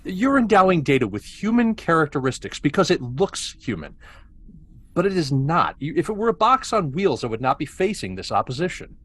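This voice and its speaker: Obsessive military scientist, male. Arrogant, condescending, very animated pitch. Man acting at a table read. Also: fairly quick, husky, exaggerated voice. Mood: heated. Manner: dramatic, condescending.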